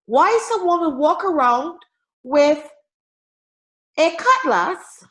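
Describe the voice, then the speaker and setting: A woman from Barbados, giving a formal, measured impromptu club speech with dramatic emphasis over a video call. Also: extremely high pitch, low-quality recording. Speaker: Toastmasters speaker.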